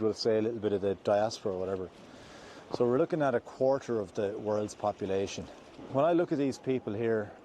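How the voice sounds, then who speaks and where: Irish man, mid-pitched, earnest outdoor vlogger, mellow tone, faint background noise. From Ireland. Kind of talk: walking vlog, opinion talk. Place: outdoors on slippery ground near a stream.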